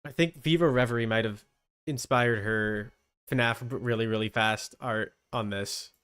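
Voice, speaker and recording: A man's voice: on the low side, normal speed, fairly bright tone, clean tone. A young man giving casual reaction commentary as a streamer, informal and exclaiming as he thinks aloud.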